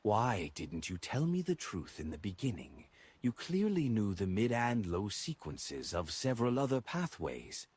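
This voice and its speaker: Guy doing a bitter demoness daughter in an audiobook, accusing, low n husky. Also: big pitch swings, a little slow, darkish tone, dry recording, heavily acted. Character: bitter young demoness woman, resentful of her mother.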